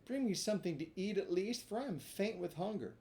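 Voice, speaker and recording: A high, piping wooden puppet boy, as the reader of a storybook puts him on, urgent and impatient, his voice swooping up and down with each plea. The tone stays soft and mellow underneath the strain. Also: lively intonation, fairly quick, slight background noise, lightly acted. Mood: desperate. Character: impatient wooden boy marionette, pleading and hot-tempered. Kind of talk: storybook reading.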